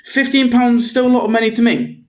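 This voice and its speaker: Casting: North Welsh man, native Welsh speaker, high voice, chatty hesitant sales pitch, echoey room. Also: fast. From North Wales. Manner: repetitive, hesitant, many ums and okays. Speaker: Welsh tutor promoting his Patreon. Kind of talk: casual self-promotional pitch.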